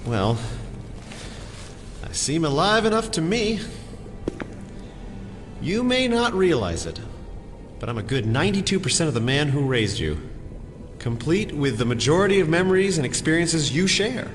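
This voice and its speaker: An actor playing an older, cloned father in an audio drama, earnest, paternal and self-justifying as he speaks deliberately. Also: a little high, very animated. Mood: self-assured. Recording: long hall reverb, loud music behind.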